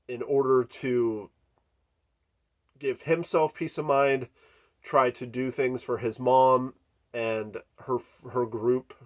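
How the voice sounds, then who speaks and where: A congested man hosting a horror channel, giving an unscripted movie review with many fillers and restarts. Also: fairly low pitch. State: congested from a cold.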